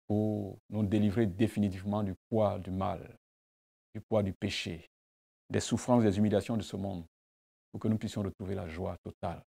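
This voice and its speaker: A man's voice: fairly low pitch, vocal fry, dark tone. A man, a pastor whose first language is not French, gives a devotional sermon and prayer with emphatic repetition and rhetorical questions. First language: a language other than French.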